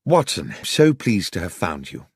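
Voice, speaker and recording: Man acting an animated, earnest British gentleman journalist. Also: sits low, measured pace, slightly rough, no room sound, clean studio sound, full character voice. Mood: anxious, friendly. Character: anxious, friendly Victorian gentleman journalist, an old friend.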